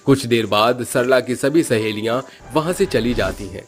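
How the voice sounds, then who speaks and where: A man narrating a scripted cartoon story in a steady, plain manner. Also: medium pitch, normal speed, soft background music.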